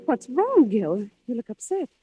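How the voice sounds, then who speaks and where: A woman's voice: fairly high pitch, big pitch swings, measured pace, over music, low-quality recording. An actress playing a ruthless, insistent female accomplice in an old-time radio thriller, urgent and pressing. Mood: urgent, conspiratorial.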